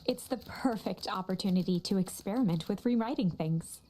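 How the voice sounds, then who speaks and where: A woman playing a dramatic, playful storybook narrator in a video game, with a coy, deliberate delivery. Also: mid-range voice, mellow, slight background noise, natural voice. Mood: playful, instructive. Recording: music under the voice. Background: guitar and instrumental music.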